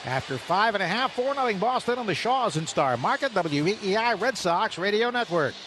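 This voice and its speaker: A man calling baseball play-by-play on radio, brisk and rising for big plays. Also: sits high, animated. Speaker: play-by-play announcer.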